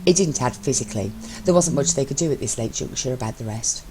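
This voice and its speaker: A woman reading fiction aloud as an amateur narrator, with expressive story delivery. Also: rumbling low, fast talker, background noise.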